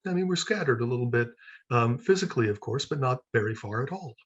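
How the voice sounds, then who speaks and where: American lecturer, husky voice, muffled recording. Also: male voice, medium pitch, expressive, quick pace. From United States. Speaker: senior lecturer. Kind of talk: self-introduction at an online event. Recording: dull, lo-fi.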